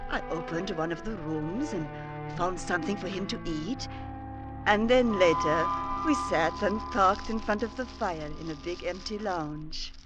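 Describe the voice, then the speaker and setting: A woman's voice: lowish voice, unhurried, natural voice, rough recording. Woman playing a wistful innkeeper widow, music behind. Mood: courteous. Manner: wistful, formal, slightly foreign phrasing. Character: gentle, lonely widowed innkeeper woman in the Alps. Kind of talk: old-time radio drama acting.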